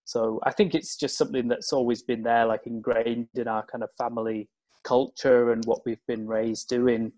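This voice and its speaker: A man's voice: medium pitch, little pitch movement, moderate pace, a little mellow, no room sound. A young man from Cumbria in England, chatting as a guest in an interview, hesitant and full of fillers and restarts. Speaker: guest, open-water swimmer. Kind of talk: casual interview chat.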